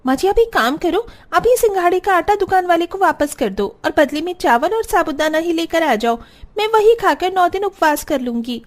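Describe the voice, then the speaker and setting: A woman's voice: very high-pitched, lively intonation, normal speed. A woman voicing a spiteful, haughty young sister-in-law in a cartoon story, bossy and scornful. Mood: indignant. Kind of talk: Hindi cartoon story dialogue.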